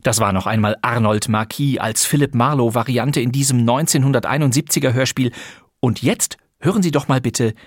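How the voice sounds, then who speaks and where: A man's voice: medium pitch, fairly quick, no echo. A man hosting a podcast, presenting scripted credits and introductions in a radio style on a close studio microphone. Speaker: podcast host.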